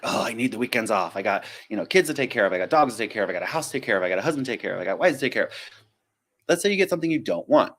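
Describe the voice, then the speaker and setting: A man's voice: mid-range voice, a bit bright. Chatty self-mocking American man. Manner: chatty, self-deprecating, digresses and acts out quotes. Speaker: flight attendant and stream host. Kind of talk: casual live-stream Q&A.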